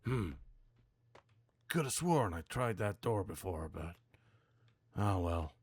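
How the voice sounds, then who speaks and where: Guy with a low voice doing casual game commentary, kinda muttering to himself. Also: sing-song, slow, slightly rough, no echo. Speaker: gamer practicing voice acting.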